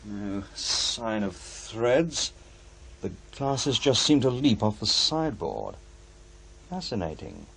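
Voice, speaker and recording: An actor playing a formal, cautious and inquisitive paranormal investigator in a radio play, speaking with careful precision. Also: male voice, lowish voice, very animated, takes it slowly, vocal fry, fairly bright tone, breathy edge, exaggerated voice. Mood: intrigued. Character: measured, inquisitive male paranormal investigator, a widower.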